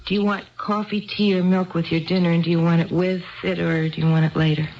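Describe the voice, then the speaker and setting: A woman's voice: on the low side, flat delivery, fast talker, slight background noise, lightly acted. Woman playing an eager, rambling housewife, dull radio recording. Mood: curious, timid. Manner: rambling, hesitant, repeating herself. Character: eager, chatty, apologetic neglected housewife. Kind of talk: 1970s radio drama acting.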